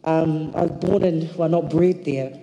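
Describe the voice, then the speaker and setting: A woman from Samoa giving a slow, heartfelt acceptance speech at a lectern microphone on a hall stage. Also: low voice, fairly even intonation, gravelly, dark tone. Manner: slow, deliberate, heartfelt with long pauses. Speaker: award recipient. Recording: echoey hall, filmed from the audience.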